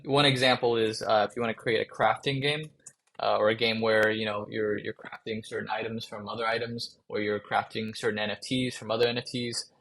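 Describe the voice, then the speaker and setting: Male workshop presenter, mid-pitch, gravelly and a bit worn, relaxed screen-share walkthrough. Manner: casual, many fillers and restarts. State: voice going rough from a long day of calls. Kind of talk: screen-share coding tutorial.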